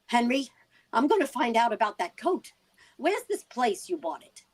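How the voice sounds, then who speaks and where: A woman's voice: on the high side, big pitch swings, normal speed. A woman playing a stubborn, worried working-class wife in a vintage-style radio drama, speaking in an insistent, colloquial way.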